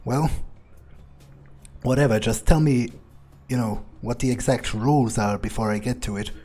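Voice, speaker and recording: A man roleplaying a cynical, amused, bloodthirsty vampire in a video game, husky, musing and sardonic in an improvised way. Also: medium pitch, moderate pace, dark tone, soft background music, natural voice. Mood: dismissive, impatient. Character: cynical, bloodthirsty, amused young male vampire, foul-mouthed.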